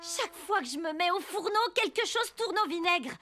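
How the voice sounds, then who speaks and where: Cartoon baking villainess: grumbly, dramatic, secretly remorseful, high register, music behind. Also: female voice, expressive, moderate pace, pushed and bright, exaggerated voice. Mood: discouraged. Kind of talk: children's cartoon dubbing.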